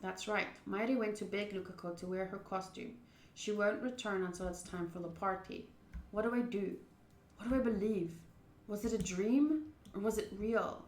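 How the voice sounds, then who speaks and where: A woman's voice: medium pitch, normal speed, a little hiss or hum. A young woman streamer reading a visual novel aloud and chatting informally and expressively with viewers. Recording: roomy echo.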